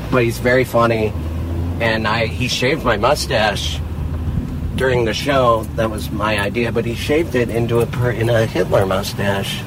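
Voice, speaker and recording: A man co-hosting a podcast, telling animated stories in casual chat and laughing often while riding in a car. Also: mid-range voice, moderate pace. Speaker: podcast co-host. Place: in a moving car. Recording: car road noise. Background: traffic and engine noise.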